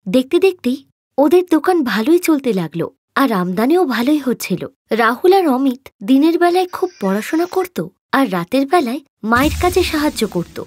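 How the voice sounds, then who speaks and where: A woman narrating an animated moral tale in a scripted storytelling style. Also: high-pitched, normal speed, no echo, clean studio sound.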